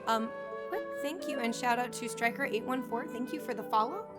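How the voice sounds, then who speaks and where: A woman's voice: on the high side, lively intonation, a little fast. A woman livestreaming a video game, chatting casually to viewers and reacting as she plays. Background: game music.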